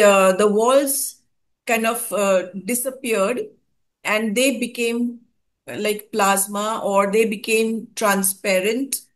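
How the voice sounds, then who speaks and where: A woman from India hosting a conversation, recounting a meditative experience with frequent hesitations and fillers. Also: medium pitch. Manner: hesitant, many fillers and restarts. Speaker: host. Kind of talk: casual spoken account of a spiritual experience.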